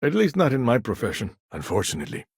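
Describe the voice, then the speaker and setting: An actor playing a shrewd, guarded businessman in a video game, with a measured, wry, businesslike way of talking. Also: mid-range voice, wildly swooping pitch, creaky, slightly rough, no echo, clean studio sound, heavily acted. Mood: cautious, confiding. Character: shrewd, guarded corporate businessman, smooth-talking and self-assured. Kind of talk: scripted game dialogue.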